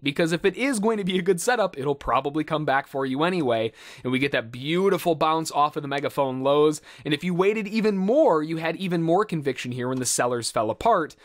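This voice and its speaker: Casual man with a higher-pitched voice. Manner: casual, conversational, thinking aloud. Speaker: day-trading instructor and host. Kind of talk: live trade commentary and tutorial.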